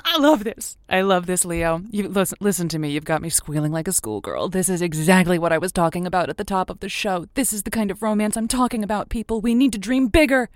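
A woman's voice: on the low side, lively intonation, fast talker, few pauses, lightly acted. A woman playing an effusive, theatrical host of a call-in romance advice show in an audio drama. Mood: gushing. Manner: gushing, theatrical, encouraging.

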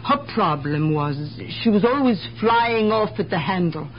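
A woman's voice: mid-range voice, lively intonation, measured pace, close to an everyday voice. Actress as world-weary female neighbor, guarded, broken grammar, vintage radio drama, lo-fi, faint noise. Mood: confiding, resigned. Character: wary, world-weary immigrant woman neighbor. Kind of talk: 1970s radio mystery acting. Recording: dull, noisy 1970s radio broadcast recording.

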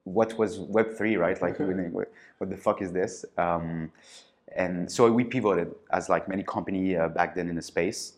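Man, very deep voice, hesitant, filler-heavy interview talk. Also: normal speed. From France. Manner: hesitant, many fillers and restarts. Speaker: podcast guest, startup founder. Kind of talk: casual interview storytelling.

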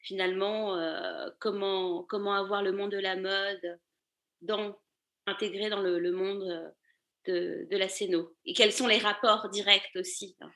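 A woman's voice: mid-range voice, steady tone, unhurried, bright, forceful tone. A woman, answering casually as an interview guest in a loose, meandering way. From Paris, France. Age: 30s. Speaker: podcast guest, fashion accessories designer.

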